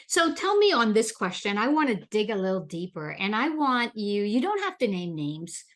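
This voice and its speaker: A woman originally from the Bahamas hosts a leadership interview in an encouraging way, often repeating words as she builds up her questions. Her voice sits at a middle pitch. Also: big pitch swings, normal speed. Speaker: podcast host. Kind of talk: interview chat.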